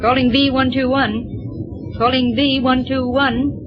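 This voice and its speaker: High-voiced 1930s radio lieutenant co-pilot. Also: female voice, little pitch movement, slow, over music. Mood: crisp, official. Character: capable, alert young female lieutenant co-pilot. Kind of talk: 1930s radio serial acting. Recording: muffled 1930s radio recording.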